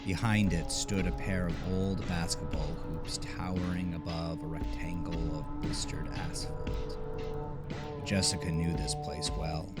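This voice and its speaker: A man narrating a scripted horror story with deliberate, dramatic storytelling. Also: low-pitched. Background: music.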